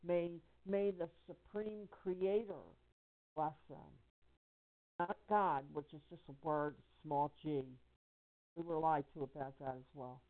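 Female caller, lowish voice, narrow phone-line sound, emphatic and halting, impassioned testimony with repeated phrases. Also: steady tone. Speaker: caller on a conference call. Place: telephone conference call.

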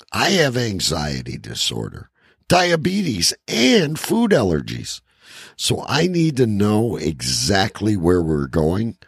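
A man's voice: low voice, creaky, breathy edge, no room sound, studio quality. A man co-hosting a podcast in casual banter and letter reading, with a blunt, profane way of talking. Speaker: podcast co-host.

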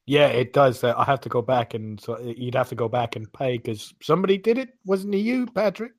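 A man's voice: medium pitch, expressive, a little fast, no room sound. Man chatting casually, close dry sound. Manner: rambling, searches for names with filler words. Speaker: podcast roundtable panelist. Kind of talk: casual chat, retelling gameplay anecdotes.

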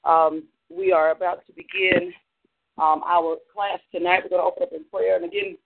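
A man's voice: high-pitched, level tone, moderate pace, vocal fry. Congested man teaching, over a phone line. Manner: loose, filler-heavy teaching. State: congested from allergies. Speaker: pastor teaching a prayer class. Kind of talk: church class lecture with prayer. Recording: narrow phone-line sound on a talk stream.

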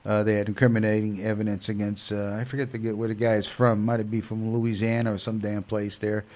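Man, stuffy nose, slightly low voice, rambling casual host talk, muffled lo-fi sound. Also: flat delivery, fast talker, darkish tone. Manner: rambling, many fillers and restarts. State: stuffed up from a cold. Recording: dull lo-fi sound.

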